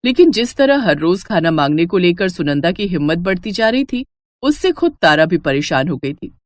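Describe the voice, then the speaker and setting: A woman narrating a moral story in a measured, even storytelling voice. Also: lowish voice, no room sound.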